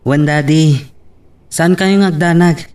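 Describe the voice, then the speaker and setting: A man's voice: a little high, fairly even intonation, slow, darkish tone, clean tone. A man playing a respectful, cheerful young son in an Ilocano-accented audio drama. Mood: eager, pleading.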